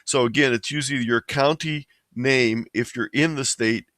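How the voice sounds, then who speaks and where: Male webinar presenter, mid-pitched, informal and explanatory, very little room sound. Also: fairly even intonation, normal speed, fairly bright tone, no echo, clean studio sound. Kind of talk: informal instructional webinar talk. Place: indoors, online webinar.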